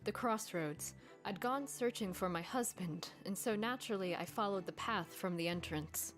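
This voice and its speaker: A woman's voice: medium pitch, normal speed, lightly acted. A woman voicing a widowed mare in a fan-made mystery game, giving remorseful testimony about her husband. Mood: testifying. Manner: earnest, regretful testimony. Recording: game audio over background music.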